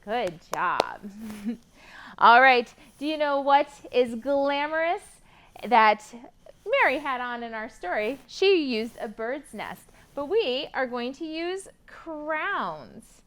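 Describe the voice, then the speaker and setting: A woman's voice: high-pitched, very animated, slow pace, a bit bright, smooth. A woman hosting a library storytime for young children, reading expressively and prompting them with encouraging questions. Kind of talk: picture-book reading and sing-along for young children.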